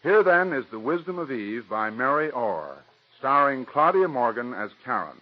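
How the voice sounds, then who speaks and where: A man's voice: medium pitch, very animated, moderate pace. American man hosting old-time radio, muffled recording. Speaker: director and host. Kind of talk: scripted 1940s radio introduction.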